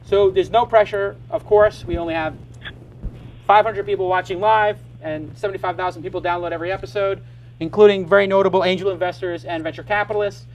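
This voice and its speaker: Middle-aged male host, high voice, brisk and joking, faint background hiss. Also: steady tone, highs cut off. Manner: brisk, joking, talks fast in bursts. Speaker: podcast host. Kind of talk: live startup show hosting.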